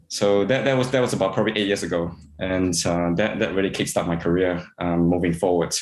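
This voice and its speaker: A man from Singapore, an integrative veterinarian, answering interview questions in a halting way with many repeated words and fillers. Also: low-pitched, steady tone, echoey room, noisy background.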